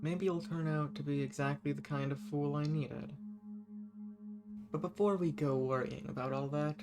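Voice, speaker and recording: A man's voice: a little high, steady tone, normal speed, lightly acted. A man playing a banished ancient villain, seductive, scheming and lonely, speaking intimately and teasingly to the listener in a roleplay audio drama. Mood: flirty, teasing. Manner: flirtatious, teasing, theatrical. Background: music.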